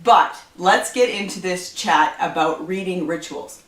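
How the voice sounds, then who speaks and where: A woman's voice: on the low side, measured pace, fairly bright tone, roomy sound, distant mic. A woman chatting casually about her reading habits on her book channel, stuffed up from allergies and rambling with lots of fillers. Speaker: book vlogger. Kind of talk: casual chat to camera.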